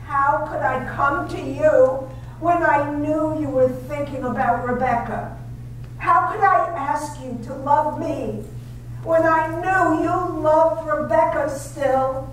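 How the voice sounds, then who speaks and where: Woman acting as a high-voiced, earnest young wife, slow and imploring, far from the mic in an echoey room. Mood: pleading. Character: shy, devoted young wife pleading with her husband. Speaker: amateur theater actor. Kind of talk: 1930s-style radio drama acting. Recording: distant echoey room with faint noise.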